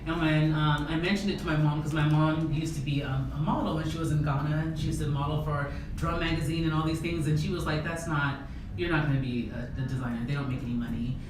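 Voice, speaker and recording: A woman fashion designer who grew up in the United States, answering interview questions in a relaxed, rambling way with lots of fillers. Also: sits low, fast. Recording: echoey room, distant mic, background noise.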